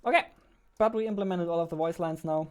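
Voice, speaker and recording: A man in his twenties, a game developer chatting playfully with his viewers while playing, on a studio mic in a small dark room. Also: on the high side, quick pace, clear, resonant tone. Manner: playful, excitable, talks back to the chat. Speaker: game developer streaming his daily devlog. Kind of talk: casual live-stream chat while playing. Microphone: studio mic on a boom arm, wearing headphones.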